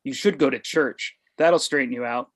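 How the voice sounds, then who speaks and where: A man's voice: medium pitch, moderate pace, fairly bright tone. Guy reading a smug middle-aged dad, blunt and stubborn, brushes everything off, comedy table read. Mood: smugly dismissive. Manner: blunt, dismissive.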